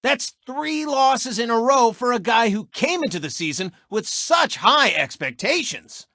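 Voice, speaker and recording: A smug, gloating race car with his own sports show in a cartoon video game. His voice is sharp and forceful, leaping up and down with showboat flair. It is a dry, close recording, and every phrase comes out cocky and mocking. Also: extremely high pitch, very animated, unhurried, dry recording, heavily acted. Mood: showy commentary. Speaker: racing show host. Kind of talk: animated video game character commentary and taunts.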